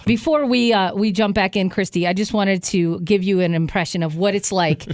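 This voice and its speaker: Female radio co-host, mid-pitched, casual and teasing, close dry studio sound. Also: moderate pace, darkish tone, no echo. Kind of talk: casual chat.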